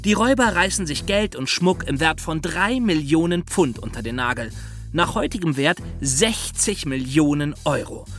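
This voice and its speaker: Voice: mid-range voice, expressive, moderate pace, fairly bright tone, faint music behind. A podcast co-host delivering scripted, dramatic storytelling narration.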